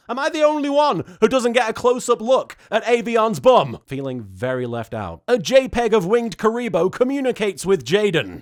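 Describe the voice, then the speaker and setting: High voiced guy doing a funny episode recap, super animated, sweary fake indignation. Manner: foul-mouthed, exaggerated mock indignation. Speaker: host of a comedic episode recap series. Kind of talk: comedic scripted recap with reactions.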